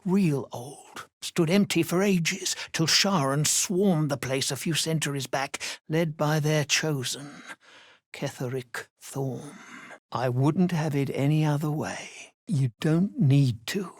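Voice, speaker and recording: An actor voicing a weary, sincere deep gnome survivor in a video game, plain-spoken and gentle. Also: slow, no echo, studio quality. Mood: weary, tender. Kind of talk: video game character dialogue.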